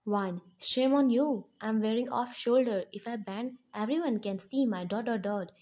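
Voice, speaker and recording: Bold sassy young wife, read by a woman; fiery, slightly high. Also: moderate pace, dark tone, close to an everyday voice. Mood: coy.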